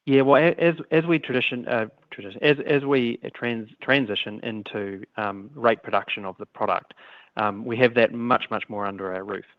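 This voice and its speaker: A man speaking as a company executive, answering questions on an earnings call in a halting way, often repeating and restarting his words. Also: medium pitch, lo-fi sound. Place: conference call line. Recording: echoey conference call audio, band-limited.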